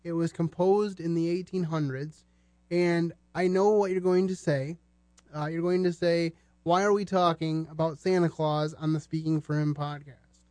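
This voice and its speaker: A man hosting a podcast in a conversational style, with measured, halting phrasing. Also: fairly high pitch, steady tone, mellow, no room sound. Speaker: podcast host. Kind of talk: conversational podcast presenting with religious commentary.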